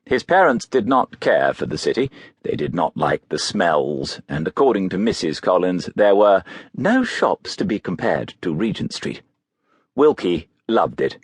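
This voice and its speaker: A man narrating a biography audiobook in a measured, literary storytelling manner. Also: deep voice, very animated, breathy edge, no echo.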